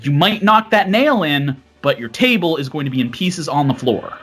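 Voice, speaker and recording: A man's voice: a little high, animated, moderate pace, a bit bright, breathy edge, soft background music. A man on a gaming podcast panel, talking casually and rambling, sometimes losing his train of thought.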